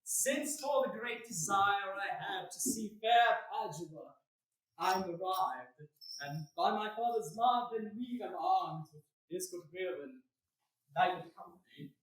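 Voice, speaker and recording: High-voiced eager young gentleman played by a man. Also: takes it slowly. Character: eager young gentleman traveller arriving to study. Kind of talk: theatrical staged reading of Shakespeare. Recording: very echoey room, far from mic.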